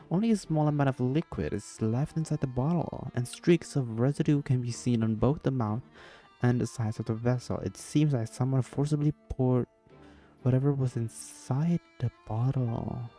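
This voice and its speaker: A man's voice: mid-range voice, normal speed, warm tone, faint music behind. A man doing casual, playful reaction commentary on a game, sometimes reading the on-screen text aloud. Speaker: gamer doing a playthrough.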